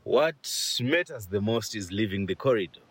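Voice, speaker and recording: Man playing a deep, husky, earnest inhabitant. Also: animated, moderate pace, dry recording. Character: earnest corridor inhabitant declaring who they are. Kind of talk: poetic declarations in radio play.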